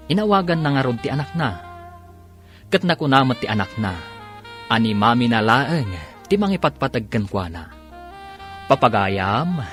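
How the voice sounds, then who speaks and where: A male radio-drama narrator with an Ilocano accent. His mid-range voice moves in lively, dramatic swings as he reads the script over a light music bed. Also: medium pitch.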